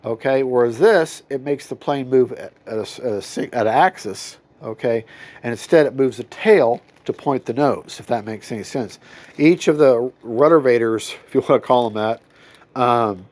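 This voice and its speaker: A man's voice: medium pitch, normal speed, a little mellow. A man, nasal from a cold, giving a folksy, rambling review of a model plane at home. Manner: rambling, self-correcting, folksy. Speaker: RC hobby reviewer. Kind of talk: casual unboxing review. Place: home hobby room.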